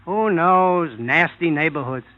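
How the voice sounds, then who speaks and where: A man's voice: fairly high pitch, measured pace, lightly acted. A man with an American accent playing a blunt, exasperated showbiz agent in an old-time radio drama, slangy and complaining. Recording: muffled vintage radio recording.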